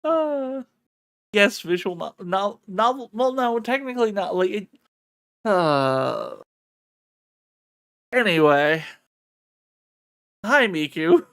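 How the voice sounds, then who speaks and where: An expressive, excitable streamer reading a visual novel aloud in a dramatic way, with casual sweary reactions. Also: mid-range voice, slow, pauses often, clean tone, no room sound, clean studio sound.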